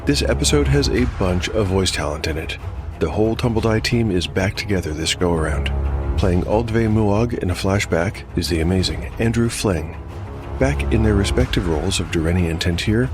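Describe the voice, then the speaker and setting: Deep-voiced man hosting over music. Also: animated, normal speed. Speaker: podcast host and creator. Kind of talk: scripted podcast host segment, reading listener review and credits.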